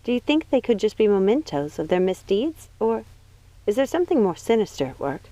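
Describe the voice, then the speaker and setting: A woman's voice: mid-range voice, very animated, a little fast, warm tone, dry recording, pitched above the performer's own voice, close to an everyday voice. A woman voicing a worried, protective young witch in an audiobook, speaking earnestly and with care. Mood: pensive. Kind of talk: audiobook character voice.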